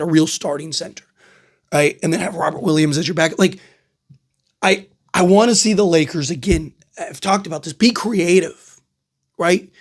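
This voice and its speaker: Guy with a scratchy sick voice, kinda high, rambling about basketball trades, lots of "right?". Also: breathy edge. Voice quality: frequent "right?" tags and filler words. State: hoarse, losing his voice from a cold. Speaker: basketball podcast host. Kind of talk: casual solo sports commentary.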